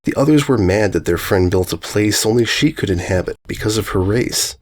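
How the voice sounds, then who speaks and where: A man narrating a rhyming children's story in a measured, singsong cadence. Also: fairly low pitch, animated, a little mellow. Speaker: story reader.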